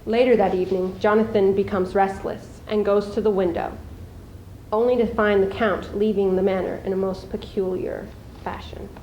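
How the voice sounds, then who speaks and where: A teenage girl, a high school student, gives a deliberate, suspenseful dramatic reading of prose. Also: fairly even intonation, mellow, highs cut off, slight background noise. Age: teens. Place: live school showcase before an audience. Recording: echoey live room recording.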